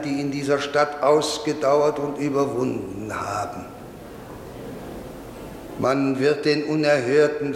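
A solemn old priest preaches sternly and extremely slowly, with weighty pauses. It is an old radio drama recording, noisy, with a long hall reverb around the voice. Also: male voice, medium pitch. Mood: fervent exhorting. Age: older. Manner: measured, declamatory, rhetorical pauses. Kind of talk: 1950s German radio drama sermon. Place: large reverberant space.

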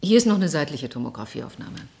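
A low-pitched female doctor in a radio drama. She is composed and grave, and lays out her findings in a measured, clinical manner. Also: very animated, creaky, a little mellow, slight background noise, full character voice. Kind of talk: German radio drama acting.

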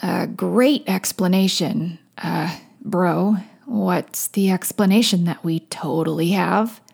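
Woman voicing a gravelly, slangy, flustered guy. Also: fairly low pitch, slow, a little mellow, full character voice. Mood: nervous. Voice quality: gravelly, creaky. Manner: slangy, bro-ish, hesitant. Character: flustered young man, slangy, blunt sidekick lord. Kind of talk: character voice in fan fiction audiobook.

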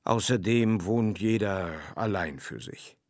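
A man's voice: lowish voice, slow pace, no room sound, studio quality. A man voicing a lonely, plain-spoken man from an apartment house in a storybook reading, with a questioning manner. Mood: puzzled, resigned.

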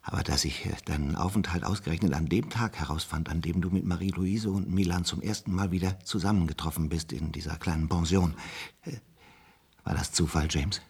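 An actor playing a weary old former police officer in a radio play, recalling his past haltingly and pensively. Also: low-pitched, fairly even intonation, creaky, a little mellow, husky, full character voice. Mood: tired, musing. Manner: halting, slow, pensive recollection. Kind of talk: German radio play acting.

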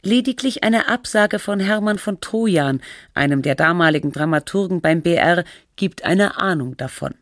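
A woman playing the sober, measured narrator of a literary radio documentary. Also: lowish voice, expressive, no room sound, studio quality, natural voice. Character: composed documentary narrator of a fictional radio feature.

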